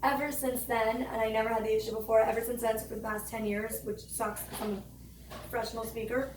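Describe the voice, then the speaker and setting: A woman's voice: on the high side, flat delivery, quick pace, reverberant, far from the mic, noisy background. A young woman who is a professional speaker, talking in a chatty casual way with lots of "like". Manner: chatty, lots of "like", laughs easily. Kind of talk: casual chat. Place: hot room under studio lights.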